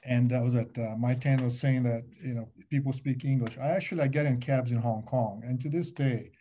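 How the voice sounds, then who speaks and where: Middle-aged man, warm, mellow tone, loose panel-talk delivery full of "you know", webcam audio. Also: mid-range voice, brisk, a little hiss or hum. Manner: animated, gesturing, restarts and many fillers. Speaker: alumni panelist. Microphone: laptop webcam on a video call.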